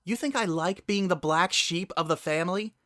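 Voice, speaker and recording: A man's voice: high voice, moderate pace, pushed and bright, dry recording, clean studio sound, pitched above the performer's own voice. A man voicing a defensive young female unicorn pony, a guilty younger sister, in a dramatic story reading. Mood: upset, heated. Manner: blunt, defensive, emotional.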